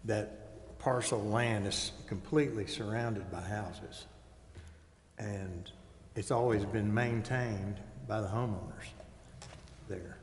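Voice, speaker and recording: A man's voice: fairly low pitch, slow pace. American male, informal matter-of-fact explainer, public meeting, roomy echo with faint noise. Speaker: meeting participant giving background. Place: council chamber. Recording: echoey hall, faint noise.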